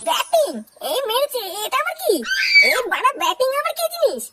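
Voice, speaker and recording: Squeaky, excitable cartoon layabout voiced by a man. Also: a little fast, raised voice, very noisy. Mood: envious amazement. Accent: West Bengal Bengali. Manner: slangy, sweary, excitable. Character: excitable, envious, broke young layabout. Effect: chipmunk-like pitched-up voice. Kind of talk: comic cartoon voice acting.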